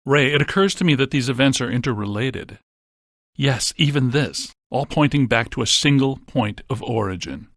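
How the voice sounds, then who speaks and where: A man playing a brainy, deadpan scientist ghost hunter in a video game, with precise technical wording. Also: medium pitch, normal speed, no room sound, studio quality. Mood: deadpan, analytical. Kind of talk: scripted video game voice acting.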